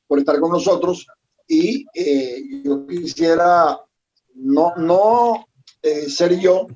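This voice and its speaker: A man hosting an online forum, giving an informal, halting introduction and repeating phrases as he goes. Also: fairly high pitch, a little mellow. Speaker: host introducing a guest. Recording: muffled lo-fi online call audio.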